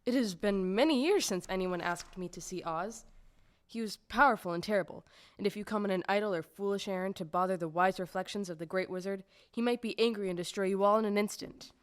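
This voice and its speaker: A woman's voice: mid-range voice, fairly quick, dry recording, natural voice. Woman playing an officious little gatekeeper, dry sound. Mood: stern, warning. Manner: formal, ceremonious, emphatic. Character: officious little green gatekeeper of a magical city. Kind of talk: stage-style audio drama acting.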